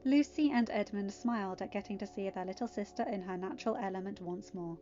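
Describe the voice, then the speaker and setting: A woman's voice: medium pitch, a little fast, mellow, highs cut off. A woman reading as a podfic storyteller. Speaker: podfic narrator. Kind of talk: scripted story narration. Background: faint music.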